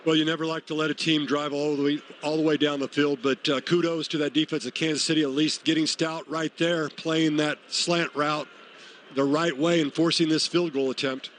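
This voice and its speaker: A man's voice: fairly high pitch, little pitch movement, normal speed, fairly bright tone. An American man giving conversational color analysis during a live football radio broadcast. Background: faint stadium noise.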